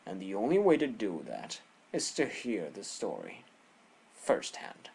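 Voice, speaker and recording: A man's voice: on the low side, wildly swooping pitch, a little slow, creaky, darkish tone, breathy edge, full character voice. A man acting a haughty, condescending bird prosecutor in a courtroom audio drama. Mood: forceful, confident. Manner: pompous, condescending, theatrical.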